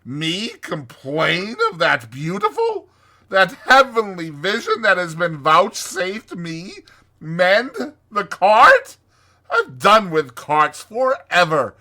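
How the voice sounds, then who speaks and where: A man voicing a grandiose, besotted toad in a storybook, gushing dreamily and dramatically with drawn-out words. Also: high-pitched, wildly swooping pitch, pushed and bright, voice pushed up, full character voice. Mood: dreamy, indignant. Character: grandiose, giddy male toad, besotted and theatrical.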